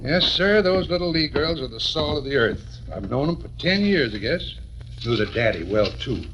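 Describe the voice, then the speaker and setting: Man as a folksy diner owner, lively higher voice. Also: moderate pace, highs cut off, natural voice. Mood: folksy, chatty. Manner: folksy, talkative, colloquial. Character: chatty, folksy male small-town diner owner. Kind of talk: 1940s radio drama acting. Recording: noisy old 1940s radio recording.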